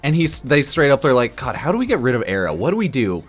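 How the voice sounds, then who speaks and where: A slightly drunk man giving casual, sarcastic game commentary on a stream, blunt and quick to swear. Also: mid-range voice, huge pitch swings. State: a bit drunk.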